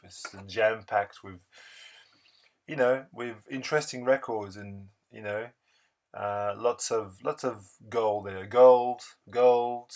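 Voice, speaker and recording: A man narrating a casual vlog voice-over in a halting, unscripted, rambling way. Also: fairly low pitch. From France. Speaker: record-collecting video blogger.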